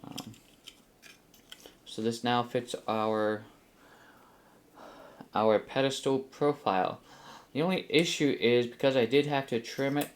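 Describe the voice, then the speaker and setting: A middle-aged man casually explaining a hands-on build, halting as he thinks aloud, in a small home room. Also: medium pitch. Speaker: host of a DIY flight simulator build channel. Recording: faint background room noise.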